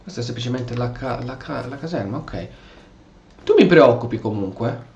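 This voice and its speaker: An Italian man giving casual, excitable let's play commentary on a video game and answering the characters in role. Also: medium pitch, a little fast, highs cut off. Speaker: gaming video host. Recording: roomy echo, faint background noise.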